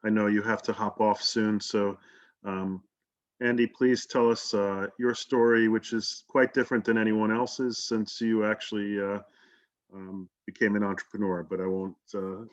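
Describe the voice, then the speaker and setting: Guy moderating a webinar, flat lowish voice, muffled call audio. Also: normal speed. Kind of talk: moderating a panel.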